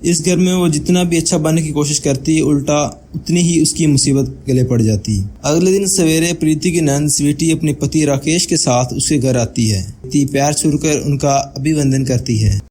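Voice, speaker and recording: Guy narrating a story, soft dark mellow voice, normal pitch, bit of background noise. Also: moderate pace, few pauses.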